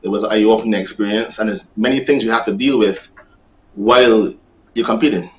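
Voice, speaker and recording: Low-voiced male coach, slightly dull sound. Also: brisk. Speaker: athletics coach. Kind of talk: interview answers.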